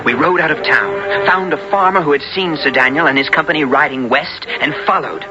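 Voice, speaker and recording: An actor playing a brave, loyal young squire who both narrates and speaks in a radio drama, in earnest, formal, archaic language. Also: male voice, a little high, brisk, natural voice. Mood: downcast, determined. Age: young adult. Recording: muffled old radio broadcast with music.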